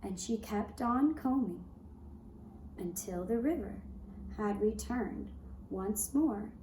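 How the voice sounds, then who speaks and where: Female storyteller for a puppet show. Soft, deliberate, extremely slow delivery with gentle pauses, slight noise behind. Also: mid-range voice, expressive, echoey room, low-quality recording. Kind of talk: gentle scripted storytelling narration.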